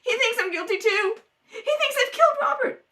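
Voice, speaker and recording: A woman's voice: extremely high pitch, expressive, normal speed, pushed and bright, pitched above the performer's own voice, heavily acted. A woman playing a distraught, pleading young woman suspected of murder in an old-time radio drama, with frantic repetitions. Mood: desperate. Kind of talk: 1930s radio drama acting.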